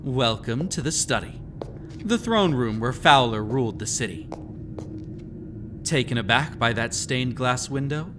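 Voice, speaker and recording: A man playing a mysterious, gleefully sinister keeper of a haunted house in an audio roleplay, speaking to the listener with teasing, drawn-out, theatrical phrasing. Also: mid-range voice, lively intonation, background noise, close to an everyday voice. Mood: grand, mock admiring. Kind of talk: scripted immersive audio roleplay, storytelling.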